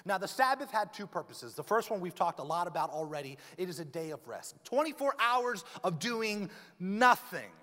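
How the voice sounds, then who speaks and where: A man's voice: high-pitched, moderate pace. A man preaching a sermon as pastor, joking and acting out stories with quoted voices. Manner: lively, joking storyteller, acts out quoted voices. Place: church sanctuary. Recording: long reverb of a church hall.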